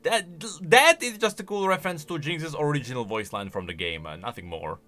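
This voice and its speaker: Animated, bright-voiced man commentating. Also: fairly high pitch, brisk, faint music behind. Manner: enthusiastic, explanatory. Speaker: commentator on game lore. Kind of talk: casual reaction commentary.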